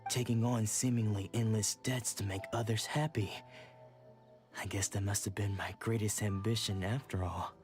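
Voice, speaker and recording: A man performs a ruined swordsman from a video game, brooding and full of regret. His low voice carries a dramatic, self-doubting weight, with music clearly playing behind it. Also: fairly even intonation, moderate pace, natural voice. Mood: bitter regret.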